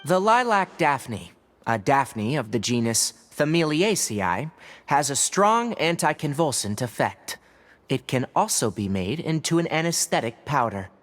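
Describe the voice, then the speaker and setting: An actor playing a dry, sardonic but principled forest watcher and scholar in a video game, speaking precisely. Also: male voice, medium pitch, sing-song, measured pace, a bit bright. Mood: hushed, focused. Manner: precise, wry, scholarly delivery. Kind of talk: scripted video game voice acting.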